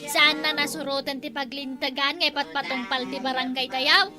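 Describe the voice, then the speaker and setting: A woman's voice: high-pitched, fairly even intonation, moderate pace, music in the background, close to an everyday voice. A woman playing a bossy complainer who goads others, in an Ilocano comedy skit. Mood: indignant. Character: bossy complaining woman goading a meek captain.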